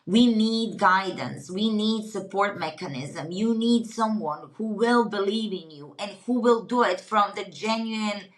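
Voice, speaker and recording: A woman's voice: mid-range voice, fairly bright tone, poor audio. A middle-aged woman from Croatia, whose first language is Croatian, speaking earnestly as a podcast guest and often restarting as she searches for words. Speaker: guest, retreat company founder. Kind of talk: casual interview conversation.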